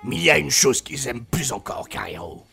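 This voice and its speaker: Man playing movie supervillain, very low gravelly voice, mocking menace. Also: big pitch swings, a little fast, pushed and bright, soft background music, full character voice. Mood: menacing. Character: menacing mocking male comic-book supervillain.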